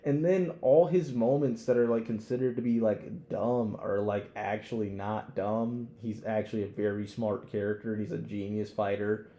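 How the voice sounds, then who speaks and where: A man's voice: lowish voice, fairly even intonation, moderate pace, few pauses, dark tone. A young man chatting casually as a podcast co-host, giving emphatic fan opinions full of slang and swearing.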